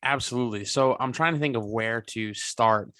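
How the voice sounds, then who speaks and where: A man's voice: mid-range voice, moderate pace, a bit bright, no echo, clean studio sound. A man in his twenties, a guest on an interview podcast, talking earnestly over a video call with many restarts. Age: 20s. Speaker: guest entrepreneur.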